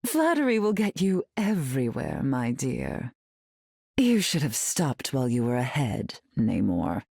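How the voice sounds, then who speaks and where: A woman playing a sultry, menacing character in a video game, with a husky, creaky voice. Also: very deep voice, very animated, unhurried, a little mellow, dry recording, studio quality, heavily acted. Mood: silky threat.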